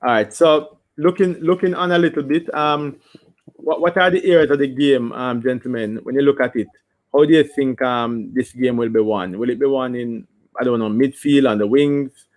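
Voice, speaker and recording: A man's voice: medium pitch, normal speed. A man hosts a casual football watch-along. He speaks in an ordinary mid-range voice, eager and conversational, stammering on his restarts and tossing questions to his panel and viewers. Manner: animated, stammering restarts, reads viewer comments. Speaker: host.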